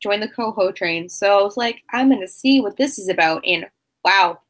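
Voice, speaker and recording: A woman's voice: medium pitch, moderate pace. A woman author joining a casual book chat over a video call as a guest, easygoing, with short agreeing replies and light laughs.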